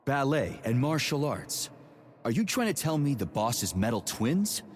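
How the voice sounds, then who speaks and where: A man playing a gruff, foul-mouthed, hot-headed army major in a video game, with a blunt and sarcastic husky delivery. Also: mid-range voice, big pitch swings, normal speed, big-room sound. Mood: disbelieving. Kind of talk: video game cutscene acting.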